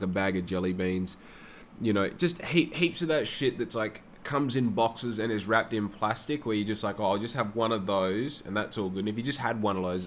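A man's voice: fairly low pitch, a little hiss or hum. A young man rambling through a casual personal monologue, swearing freely. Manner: rambling and heavily profane.

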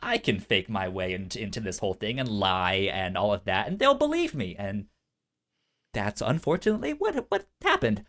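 A man's voice: on the low side, big pitch swings, normal speed, a bit bright. Man with a pronounced stutter, chatting. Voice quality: pronounced stutter, repeated sounds and syllables. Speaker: podcast co-host. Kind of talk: casual pop culture podcast chat.

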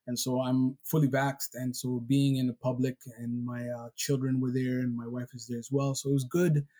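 Candidate from Somalia, male, husky warm tone, thoughtful hesitant delivery. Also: mid-range voice, level tone, moderate pace. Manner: reflective, hesitant with fillers. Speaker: political candidate. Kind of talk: casual chat.

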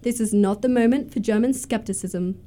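Woman playing a high-voiced haughty young society lady. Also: moderate pace, mellow, close to an everyday voice. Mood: stately, probing. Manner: precise, declamatory, pompous. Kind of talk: staged radio comedy of manners.

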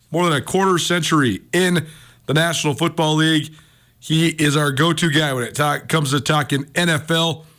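A man's voice: a little high, a bit bright. An American man hosting a sports talk radio show.

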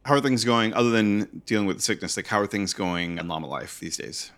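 A man co-hosting a podcast in relaxed casual chat, laughing often and adding short agreements. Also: on the low side, brisk. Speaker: podcast co-host.

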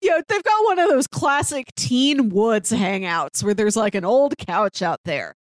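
A woman's voice: a little high, lively intonation, measured pace, no echo. Woman chatting casually, close dry sound. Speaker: podcast co-host. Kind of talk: casual chat about a TV drama.

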